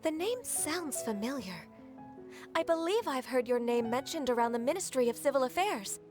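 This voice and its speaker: A gentle, sleepy young lady in a fantasy game. Her voice is high, hesitant and courteous, with faint music behind it. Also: expressive, moderate pace. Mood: polite. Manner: soft-spoken, hesitant, courteous. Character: gentle, drowsy, polite young woman. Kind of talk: video game dub acting. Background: faint background music.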